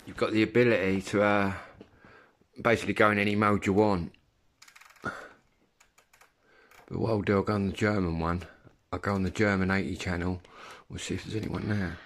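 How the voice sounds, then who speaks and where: A man in his fifties with an English accent, chatting casually and haltingly in an informal, rambling vlog. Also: deep voice, little pitch movement. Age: 50s. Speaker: CB radio hobbyist vlogger. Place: room at home. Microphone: phone at arm's length.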